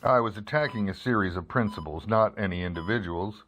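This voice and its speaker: A man's voice: lowish voice, fairly quick, a bit bright, slight background noise, lightly acted. A man playing a persistent investigative reporter in an old-time radio drama, matter-of-fact and clipped with a sardonic edge. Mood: dry, probing. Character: persistent, dry-witted male investigative newspaper reporter. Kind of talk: old-time radio drama, first-person narration and dialogue.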